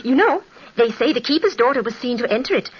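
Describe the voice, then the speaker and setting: Actress as excitable, animated, high-voiced society girl. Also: quick pace, background noise, natural voice. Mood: ghoulish relish. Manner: dramatic, gossipy. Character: excitable young lady guest relishing gruesome ghost tales. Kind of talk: old-time radio drama acting. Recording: dull vintage radio recording.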